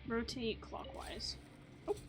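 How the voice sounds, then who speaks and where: A woman's voice: takes it slowly, slight background noise. A woman hosting a gaming video, thinking aloud in casual commentary with playful asides. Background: game music.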